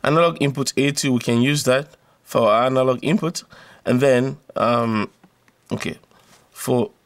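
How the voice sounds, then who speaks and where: A man's voice: medium pitch, unhurried, no room sound. A man giving an informal electronics tutorial, explaining step by step and repeating phrases for emphasis. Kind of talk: informal explanatory tutorial, talking through a diagram.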